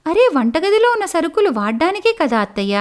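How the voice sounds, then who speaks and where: A woman's voice: very high voice, very animated, fast. A woman voicing an indignant young elder daughter-in-law, complaining earnestly in a cartoon family story. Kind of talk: cartoon moral story acting.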